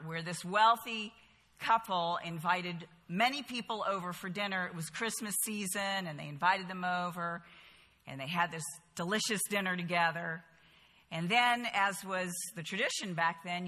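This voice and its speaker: A woman in her fifties speaks with a light Southern accent. She leads a Bible study in a bright, clear tone, keeping things chatty and adding humorous asides. Her voice echoes through a large hall. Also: on the low side. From Bahamas, later North Carolina. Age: 50s. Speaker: women's Bible study teacher. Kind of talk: casual talk to a group, then spoken prayer and storytelling.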